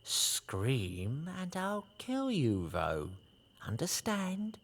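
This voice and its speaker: An actor playing a grimy thug who makes quiet threats at knifepoint. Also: male voice, mid-range voice, wildly swooping pitch, drawn-out words, exaggerated voice. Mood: menacing.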